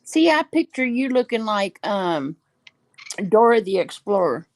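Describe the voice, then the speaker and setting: A woman's voice: mid-range voice, sing-song. Woman relaying comments in casual chat. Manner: relays comments, halting with ums.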